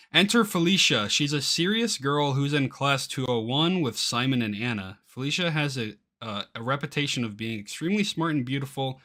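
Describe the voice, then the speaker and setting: A man giving casual reaction commentary as he reads aloud from a web page, excitable and quick to exclaim in disbelief. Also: fairly high pitch. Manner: excitable, easily incredulous, exclaiming. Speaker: YouTuber reacting to a game's crowdfunding page.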